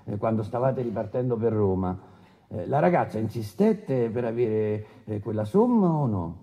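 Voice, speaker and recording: A man's voice: fairly low pitch, lively intonation, moderate pace, dark tone. An actor playing a brusque male presiding judge in a radio courtroom drama, formally and insistently questioning a defendant. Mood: insistent, sardonic. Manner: insistent, interrupting, official.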